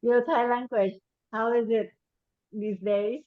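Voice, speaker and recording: A woman hosting a webinar, asking questions and inviting the audience in a halting, repetitive way. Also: fairly high pitch, steady tone, no echo. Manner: halting, repeats words. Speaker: webinar host. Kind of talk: webinar moderation. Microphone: video call. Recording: muffled video call audio.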